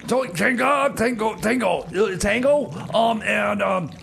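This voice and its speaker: A man playing a flustered, distressed radio DJ in a comedy radio play, halting and stammering as he dithers. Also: a bit bright, exaggerated voice. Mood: rambling, hesitant. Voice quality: creaky, high-pitched. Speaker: comedy radio show host. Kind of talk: comedy radio theater banter. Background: music playing behind the voice.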